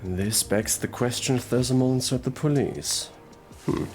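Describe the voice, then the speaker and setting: A man playing a gruff, sardonic detective in an audio roleplay drama, drawling and murmuring through his pauses. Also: medium pitch, darkish tone, natural voice. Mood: pondering. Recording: music behind the voice.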